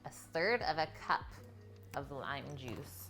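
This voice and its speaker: A woman's voice: sits low, lively intonation, slow, fairly bright tone, soft background music. A woman hosting a live cooking stream, talking in a chatty, informal way to viewers as she works and tells stories. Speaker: cooking livestream host.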